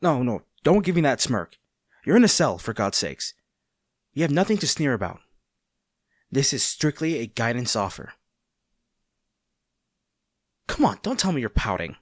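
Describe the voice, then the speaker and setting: A man playing a conflicted heroic captor in a dramatic audio roleplay, earnest and halting, tender toward an old friend turned enemy. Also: medium pitch, big pitch swings, dry recording, clean studio sound. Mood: flustered. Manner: earnest, halting, formal wording with dramatic pauses.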